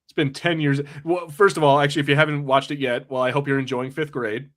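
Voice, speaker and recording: A man's voice: fairly high pitch, flat delivery, quick pace, fairly bright tone. A man hosting a film podcast in casual banter, joking and swearing freely in an informal way. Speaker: podcast host.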